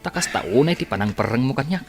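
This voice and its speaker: A man's voice: medium pitch, animated, fast talker, soft background music, lightly acted. An actor playing an easygoing, chummy male friend who is lonely in love, in a radio drama. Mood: curious. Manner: casual, chummy.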